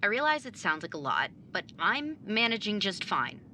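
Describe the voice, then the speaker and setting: A woman's voice: medium pitch, sing-song, normal speed, bright, forceful tone, slight background noise. A young woman playing a sarcastic, blunt nineteen-year-old younger sibling in a naturalistic audio drama. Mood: defensive, weary. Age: young adult. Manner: sardonic, blunt, casual.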